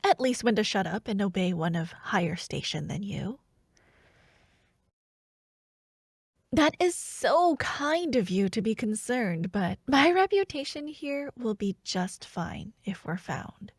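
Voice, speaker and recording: A woman playing a scheming young royal princess in an intimate ASMR fantasy roleplay, speaking in a hushed, teasing, haughty way. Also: mid-range voice, big pitch swings, unhurried, creaky, hardly any pauses, breathy edge, no room sound, studio quality, full character voice. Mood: sly, taunting.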